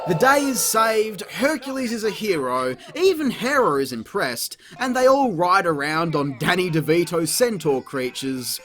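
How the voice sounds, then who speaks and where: Guy doing a sweary sarcastic review voiceover, kinda high voice, super animated, music under it. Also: measured pace, few pauses. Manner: sweary, exasperated, sarcastic delivery. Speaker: host of a video review show. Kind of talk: comedic scripted review narration.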